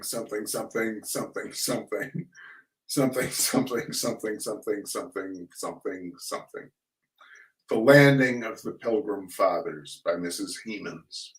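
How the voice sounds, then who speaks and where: Slow-reading man in an echoey room. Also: medium pitch, fairly bright tone. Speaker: reader of humorous essays. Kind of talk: dramatic reading of comic essays aloud. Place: echoey room, recorded at a distance from the mic. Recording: echoey room, distant microphone.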